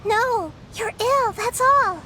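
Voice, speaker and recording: A woman voicing a tiny, earnest, excitable childlike fairy companion in a fantasy video game, with squeaky, exclamatory delivery. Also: takes it slowly, slight background noise, exaggerated voice. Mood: earnest, pleading. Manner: squeaky, exclamatory, speaks of self in third person.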